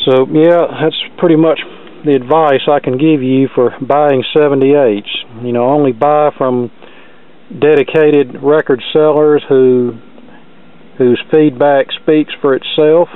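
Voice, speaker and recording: A man's voice: on the high side, steady tone, slow, clean tone, phone-quality sound. A man hosting a casual unboxing video, giving practical advice in a plainspoken, folksy, matter-of-fact way. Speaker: video host, record collector.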